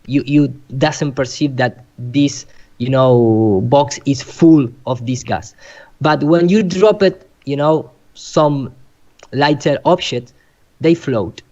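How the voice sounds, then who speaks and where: Guy, explaining stuff slowly in halting english, dull lo-fi call audio. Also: mid-range voice, darkish tone. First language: Spanish. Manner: halting, searching for words in non-native English. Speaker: presenter. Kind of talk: informal explanatory presentation.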